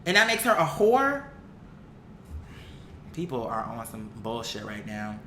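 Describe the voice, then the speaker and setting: A woman's voice: extremely low pitch, big pitch swings, a little slow, sharp tone, slight background noise. A woman giving a casual, opinionated commentary rant, blunt, profane and indignant, reading comments aloud and repeating phrases for emphasis. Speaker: online commentator reacting to viewer comments. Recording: echoey, roomy sound.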